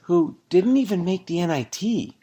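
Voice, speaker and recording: A man's voice: high-pitched, sing-song, normal speed, warm tone, husky. Rambling, jokey man co-hosting a podcast. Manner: rambling, jokey, gets worked up over stats. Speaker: podcast co-host. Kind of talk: casual sports podcast chat.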